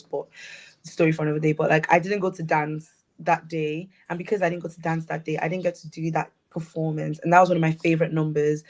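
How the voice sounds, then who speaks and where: A young woman from Liverpool with a Scouse accent tinged with Nigerian, giving earnest advice in a panel discussion, often restarting her sentences. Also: low voice. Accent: Scouse with some Nigerian. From England, Liverpool area. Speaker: panel guest.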